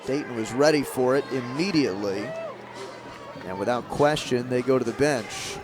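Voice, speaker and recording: A man doing live radio play-by-play of a baseball game, describing the action in short, clipped, measured phrases. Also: mid-range voice, a crowd in the background. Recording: clear outdoor ballpark noise behind.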